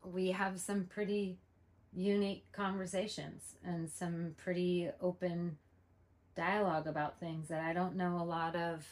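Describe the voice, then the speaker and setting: Woman in her 40s, kinda low voice, talking open and earnest on a podcast. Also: little pitch movement, measured pace. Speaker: podcast co-host. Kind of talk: casual conversation.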